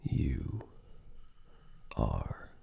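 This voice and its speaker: A man doing slow, intimate ASMR, soothing the listener in a breathy, husky, mostly whispered voice with lingering pauses between short phrases. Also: rumbling low, huge pitch swings, mellow. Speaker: ASMR performer giving personal attention. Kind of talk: slow ASMR roleplay, relaxation guidance.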